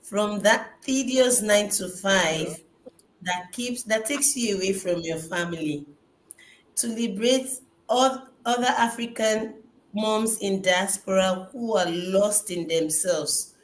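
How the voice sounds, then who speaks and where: Slow-spoken woman hosting an echoey livestream. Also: medium pitch. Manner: earnest, encouraging. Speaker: host. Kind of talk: motivational livestream talk. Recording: echoey room, faint background noise.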